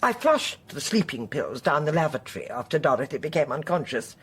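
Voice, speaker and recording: A woman's voice: low voice, sing-song, brisk, a little hiss or hum. An actress playing a genteel, haughty older woman in a radio drama, a chatty and unrepentant poisoner with an imperious, emphatic manner. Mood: coolly boastful.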